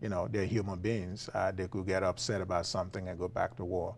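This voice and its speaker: A man with a Liberian accent, a philosophy professor being interviewed, talking in a relaxed way with frequent fillers and chuckles. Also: deep voice, fairly quick, creaky, slight background noise. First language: Liberian English. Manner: chuckling, many uh fillers and restarts. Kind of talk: conversational interview.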